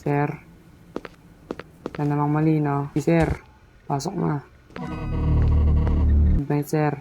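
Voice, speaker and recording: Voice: mid-range voice, flat delivery, slow pace, gravelly, over music, low-quality recording. A gamer with a Filipino accent, casually commentating a horror game and teasing the characters in mixed Tagalog and English. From Philippines.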